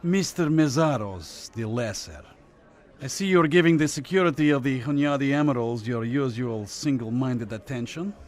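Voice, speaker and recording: A courtly Cold War communist official from Lithuania , acted by a man, in a radio thriller. He talks in measured, formal phrases with quiet menace and condescension, in a medium-pitched voice. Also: animated, close to an everyday voice. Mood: courtly, sardonic.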